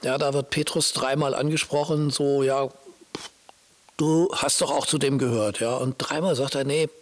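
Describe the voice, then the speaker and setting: A man's voice: medium pitch, fairly even intonation, normal speed. A man talking casually and informally about Bible stories, often searching for his words as he explains. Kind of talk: casual conversational Bible discussion.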